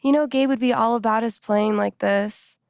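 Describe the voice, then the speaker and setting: A woman's voice: fairly high pitch, fairly even intonation, normal speed, a little mellow, smooth, no echo, studio quality, natural voice. An actress playing a wry, casual young woman in a video game, teasing and loyal. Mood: playful, teasing. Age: young adult. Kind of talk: naturalistic video game dialogue acting.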